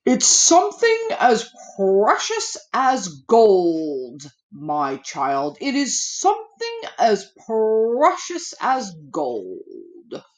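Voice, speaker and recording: Woman acting kindly old storybook neighbour, very expressive. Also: on the high side, extremely slow, full character voice. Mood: solemn. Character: kindly old neighbours answering a child tenderly.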